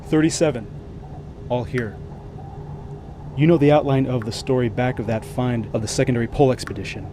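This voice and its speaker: A man's voice: mid-range voice, a little fast, noisy background, natural voice. An actor playing a sober male expedition commander, speaking formally and with authority in a radio drama.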